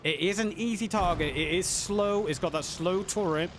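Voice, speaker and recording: Male live-match caster, voice going hoarse, pitched a bit high, light background noise. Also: moderate pace. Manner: excited, builds to high pitch on big plays. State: losing his voice. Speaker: esports caster. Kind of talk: live game commentary.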